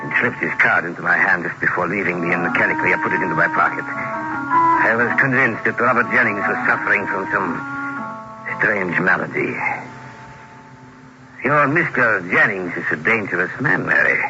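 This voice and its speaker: A man acting as a composed, probing doctor narrating a ghostly radio tale in a deliberate, formal way, on an old recording. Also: low voice, big pitch swings, lo-fi sound, over loud music. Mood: grave, measured. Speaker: narrator and lead actor.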